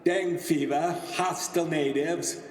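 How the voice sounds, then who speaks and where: An actor playing a skeptical, needling 1920s society member with pointed delivery in a theatrical radio play. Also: male voice, on the high side, steady tone, unhurried, lightly acted. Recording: very echoey hall.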